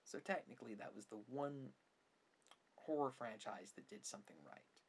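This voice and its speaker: A man's voice: mid-range voice, animated, normal speed, slightly rough. A man hosting an ASMR-style film commentary, complaining emphatically and sarcastically and at times dropping toward a whisper. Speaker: video host, film commentator. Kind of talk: ASMR opinion monologue on films. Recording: faint background noise.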